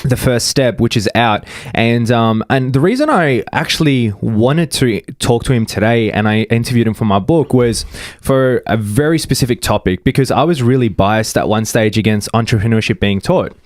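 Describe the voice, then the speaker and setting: A man hosting a casual podcast interview, friendly and chatty, often pausing on fillers. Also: medium pitch, expressive, no echo, studio quality. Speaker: podcast host.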